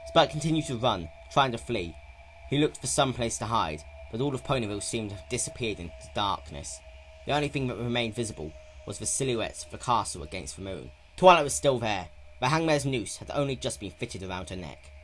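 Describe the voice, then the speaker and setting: A man's voice: mid-range voice, big pitch swings, fairly quick, soft background music, poor audio. A man narrating a story in a dramatic, scripted reading style.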